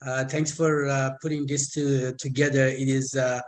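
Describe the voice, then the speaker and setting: Male guest speaker, 50s, Iranian accent, mid-pitched, halting delivery with repeated words, personal testimony. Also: level tone. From Iran. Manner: halting, stammered repetitions.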